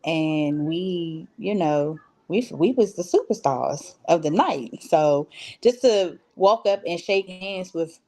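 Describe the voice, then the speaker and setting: A woman's voice: on the low side, lively intonation, slow, warm tone. A woman giving casual, conversational interview answers as a stage actress, telling stories by acting out what people said.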